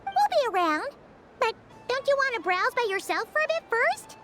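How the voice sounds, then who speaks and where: A woman voicing a small, chirpy, bossy childlike girl companion in a video game, squeaky and eager. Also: sing-song, moderate pace, fairly bright tone, slight background noise, exaggerated voice. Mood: chatty, encouraging.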